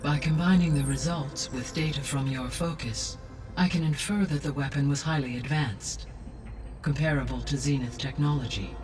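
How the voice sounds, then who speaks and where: An actress voicing a calm, wise female AI that speaks in a measured, formal way. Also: low-pitched, natural voice. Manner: measured, formal, precise diction. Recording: distant voice over loud music.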